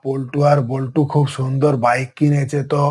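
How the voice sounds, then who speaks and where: A man's voice: mid-range voice, level tone, fairly quick, a little mellow, natural voice. A man voicing an inquisitive, authoritative tiger uncle in a cartoon story. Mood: curious.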